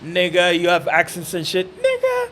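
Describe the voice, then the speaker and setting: A man hosting a podcast, talking in a relaxed, slang-heavy way and laughing often. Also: sits high, normal speed, slight background noise. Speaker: podcast host. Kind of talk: casual podcast interview.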